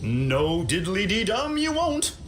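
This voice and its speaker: An overly cheerful company orchard worker, folksy and eager in his patter. His voice sits on the higher side and swings up and down a lot. There is a faint noise behind him. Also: wildly swooping pitch, slow pace, fairly bright tone, exaggerated voice. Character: chirpy male corporate orchard worker.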